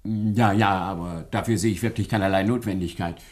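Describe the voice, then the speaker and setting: A man's voice: sits low, steady tone, brisk, lightly acted. An actor playing a courteous older professor in a radio play, scholarly and slightly evasive, often repeating his words. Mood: wary, evasive. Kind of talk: German radio play acting.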